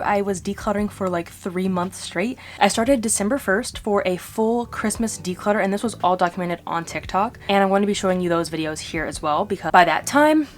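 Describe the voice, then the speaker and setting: A young woman talking in a casual, upbeat, informal way, as a vlogger addressing her viewers. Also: mid-range voice. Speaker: vlogger hosting a home declutter channel. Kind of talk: casual chatty vlog.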